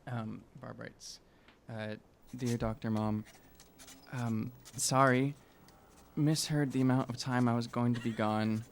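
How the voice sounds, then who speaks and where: Deadpan male player with background noise. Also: mid-range voice. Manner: deadpan, hesitant. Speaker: player in a tabletop game. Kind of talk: casual in-character improvisation.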